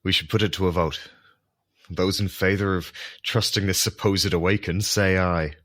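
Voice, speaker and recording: A man's voice: sits low, steady tone, moderate pace, fairly bright tone. A man playing a blunt, militant member of a secret society who wants to fight, in an audio drama. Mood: hardline. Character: male hardline society fighter, aggressive and blunt.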